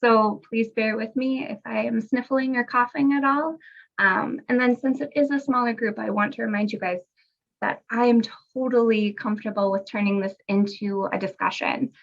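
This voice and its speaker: A woman's voice: a little high, steady tone, normal speed, gravelly. A woman presenting a webinar in a friendly, conversational way, recovering from a cold, heard over a video call. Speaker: guest presenter, parent educator. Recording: muffled lo-fi video call audio.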